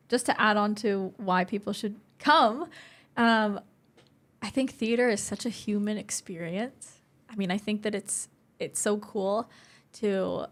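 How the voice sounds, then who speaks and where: A woman chats as a guest in a relaxed interview. Her voice is of average pitch for a woman. She speaks informally, with clear enthusiasm. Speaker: podcast guest. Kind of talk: casual interview chat.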